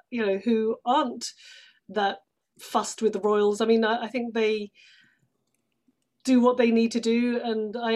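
A woman from London, chatting casually as a guest in a measured, thoughtful way. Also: a little high, darkish tone. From London, England. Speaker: interview guest, actress. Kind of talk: casual chat.

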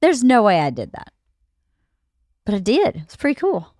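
A woman's voice: medium pitch, wildly swooping pitch, moderate pace, darkish tone, dry recording, studio quality. A woman in her late forties speaking in casual, intimate conversation as a podcast co-host, candid, reassuring and reflective. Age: late 40s.

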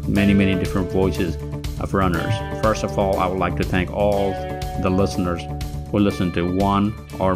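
Host, male, 50s, Nepali accent with a Southern US lilt, low voice, halting solo podcast delivery. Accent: Nepali with a Southern US tinge. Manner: halting, many restarts and fillers.

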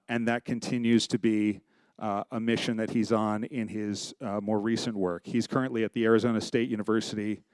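A man's voice: fairly low pitch, a little mellow, clear, resonant tone, no room sound. A man hosting an event, introducing the speaker with many fillers and hesitations. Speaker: event host. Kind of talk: introducing a speaker, podcast announcements.

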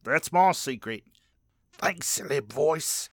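A man's voice: on the high side, animated, slow pace, very noisy. A man acting an earnest, uncool family man in a spoof radio commercial. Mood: smug.

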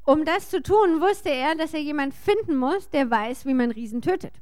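High-voiced woman interpreting a sermon. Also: animated, moderate pace, darkish tone.

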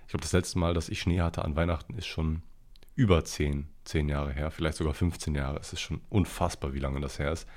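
A man's voice: very deep voice, fairly even intonation, a little fast, a little mellow. A young man from the Ruhr area hosting his own podcast, chatting in a rambling, blunt, slangy way, a little nasal and congested from a cold. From Ruhr area, Germany. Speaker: podcast host. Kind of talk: casual solo podcast chat.

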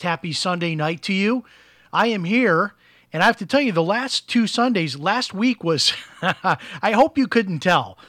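A man with a fairly high speaking voice hosts a live talk broadcast, his voice a touch raspy from being sick. He talks in a loose, chatty way with plenty of "uh"s. The sound is dry and close, with no room around him. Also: a bit bright, no room sound. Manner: chatty, conversational, with fillers. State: slightly raspy from illness. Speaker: host. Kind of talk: live talk radio monologue.

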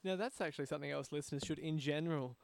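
A man's voice: on the high side, very fast, crowd noise. A man hosting a conversational podcast interview. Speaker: interview host.

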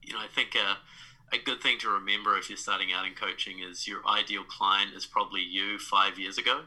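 A man's voice: lowish voice, fairly even intonation, a little fast, pushed and bright, poor audio. A man in his thirties with a New Zealand accent, talking as a podcast guest, reflective, with many fillers and restarts. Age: 30s. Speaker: guest, life coach. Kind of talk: casual podcast interview.

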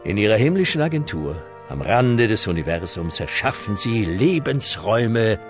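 A man's voice: fairly low pitch, big pitch swings, moderate pace. A man playing a wry, grandly solemn storyteller narrating a comic radio series. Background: music.

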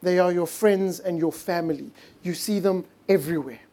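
A pastor preaching a sermon in earnest, drawing out key words slowly and dropping to a hush for emphasis. English is not his first language. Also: sits high.

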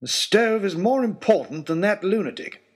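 A blustering upper-class English lord, acted out by a man telling a story, haughty and forever exasperated. His high voice swoops with irritation and has a husky edge. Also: expressive, normal speed. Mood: irritated. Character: haughty, irascible English lord leading a polar expedition.

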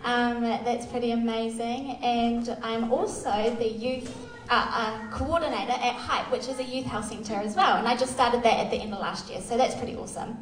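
Kiwi woman in her 30s sharing her testimony at church, bit high voice, sounds far off in a big echoey hall. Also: steady tone, moderate pace, hardly any pauses. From Australia. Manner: candid, self-deprecating, many fillers. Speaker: youth worker sharing testimony.

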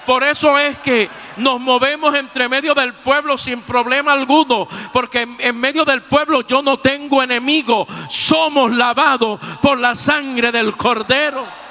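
A pastor in his sixties with a Puerto Rican accent, preaching a sermon. He keeps slipping a praise phrase between sentences and moves between shouted peaks and soft, low passages. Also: steady tone, a little slow, slight background noise. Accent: Puerto Rican Spanish. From Puerto Rico. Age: 60s. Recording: long hall reverb.

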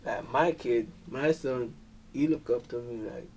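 A relaxed Jamaican man talks easily in an interview, dropping "man" and "you feel me" as he goes. His voice sits at an ordinary middle pitch. It is picked up from a little way off the mic, with a faint hiss behind it. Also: measured pace, far from the mic. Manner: laid-back, peppers talk with "man" and "you feel me". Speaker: dancehall musician guest. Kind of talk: casual interview chat.

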